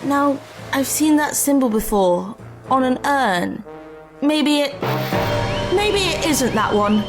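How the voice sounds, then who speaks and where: A woman's voice: sits high, animated, takes it slowly, over music, heavily acted. A woman playing a curious, brave, level-headed adventurer in an audio drama, speaking in a precise, articulate way. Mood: intrigued.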